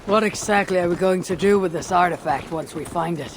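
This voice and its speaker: A woman's voice: fairly low pitch, brisk, slight background noise, close to an everyday voice. Curt Viking warrior woman voiced by an actress. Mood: probing. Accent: Scandinavian. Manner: terse, blunt, dryly scornful. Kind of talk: video game cutscene acting.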